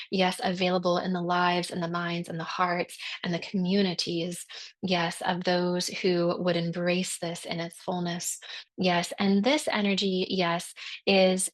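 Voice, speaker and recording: A woman delivering a channeled spiritual message in a slow, halting way, often repeating words and calling her listeners "dear ones.". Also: on the low side.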